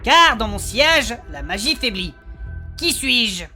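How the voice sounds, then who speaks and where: A woman performs a hapless but affectionate male adventurer for a comic fantasy audio drama. The voice is high and bright, earnest and a little defensive, with music behind it. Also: big pitch swings, measured pace, full character voice. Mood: solemn reading.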